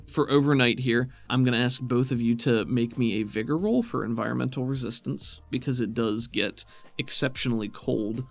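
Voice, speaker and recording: Guy game master, creaky voice, easygoing explaining dice rules, soft music under him. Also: mid-range voice, fairly even intonation, moderate pace. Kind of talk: running a tabletop roleplaying game, explaining rules.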